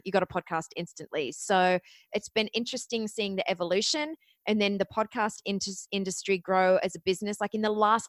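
A woman in her forties with an Australian accent, chatting as a podcast guest and telling her story in a quick, lively way. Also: mid-range voice, no echo. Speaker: podcast guest, media producer. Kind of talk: casual interview chat.

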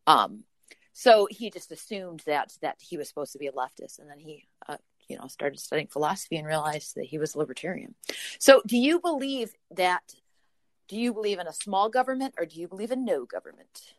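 A talkative woman with a Midwestern American accent, hosting a podcast in a loose, conversational way. Her voice sits a little low for a woman and she laughs often, with plenty of "like" and "you know". The recording is dry and close, with no room sound. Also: animated, fairly quick, dry recording. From Ohio, USA. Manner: chatty, laughs often, many fillers. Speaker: podcast host. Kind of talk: casual conversational interview.

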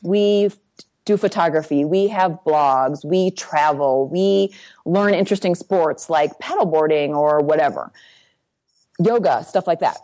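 A woman with a somewhat low voice speaks quickly and practically, giving career advice. She peppers her points with quick "right?" check-ins. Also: dark tone. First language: English. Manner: brisk, practical, tag questions like "right?". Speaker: guest career coach. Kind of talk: advice interview.

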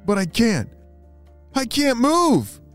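A storyteller voicing an excitable, wide-eyed young boy spy in a children's adventure tale. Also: mid-range voice, slow pace, faint music behind, voice pushed up. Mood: worried. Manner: exclaiming, wide-eyed, dramatic.

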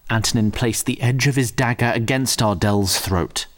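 A man's voice: mid-range voice, moderate pace. A man narrating a scripted fantasy story in a wry, literary manner.

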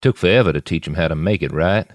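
A man's voice: sits low, fast talker, no room sound, clean studio sound. A man voicing a cocky, commanding post-human mercenary boss in an audiobook, with a folksy, speechifying manner. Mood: musing, superior.

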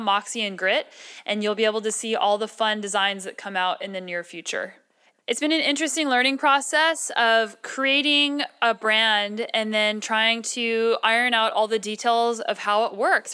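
A woman's voice: a little high, bright, forceful tone, smooth. A woman hosting a podcast, talking in an informal, chatty way as she introduces and interviews. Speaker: podcast host.

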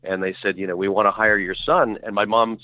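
A middle-aged actor speaking as a podcast guest, telling long stories in a rambling, self-correcting way full of fillers. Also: male voice, on the low side, steady tone, a little fast, no echo, phone-quality sound. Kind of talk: relaxed conversational storytelling.

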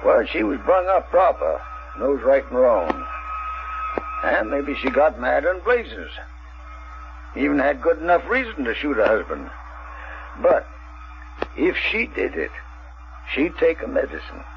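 A man's voice: medium pitch, very animated. Folksy small-town lawman, rural American accent, hesitant plain talk, radio drama, muffled sound, strong background noise. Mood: musing, plainspoken. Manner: folksy, slow, hesitant drawl. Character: folksy small-town police chief, plainspoken and fair-minded. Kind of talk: 1970s radio drama acting. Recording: noisy, muffled old radio recording.

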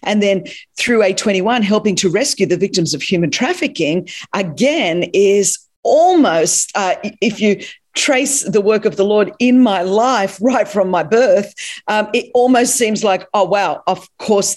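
A woman in her fifties with an Australian accent, telling her life story as an interview guest, animated and often laughing. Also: medium pitch, measured pace. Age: 50s.

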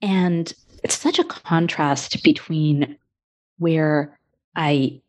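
A woman speaking as a coach and podcast guest, reflecting thoughtfully in short, measured phrases. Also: low-pitched, darkish tone. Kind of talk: reflective interview conversation.

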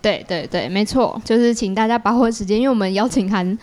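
A woman with a medium-pitched voice and a Taiwanese Mandarin accent. She talks as a guest, explaining things informally in an easy conversational style. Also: rapid-fire, darkish tone. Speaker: guest, artist presenting own work.

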